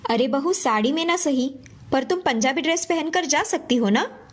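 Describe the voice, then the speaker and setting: A woman voicing a middle-aged, scolding mother-in-law with a husky voice in an animated Indian moral story. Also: high voice, moderate pace. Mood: shocked, scolding. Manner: exasperated, admonishing.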